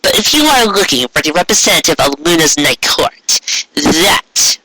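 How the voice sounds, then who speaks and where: Man voicing a brave, anxious young unicorn mare, higher-pitched. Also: big pitch swings, pushed and bright, slight background noise, heavily acted, low-quality recording. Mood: nervous defiance. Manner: earnest, pleading, halting. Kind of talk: fan fiction audiobook character voice.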